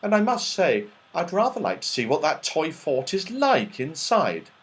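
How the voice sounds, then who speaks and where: A man's voice: a little high, huge pitch swings, normal speed. A man voicing a sensible, cautious boy in a children's storybook, earnest and reasoning. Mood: reassuring.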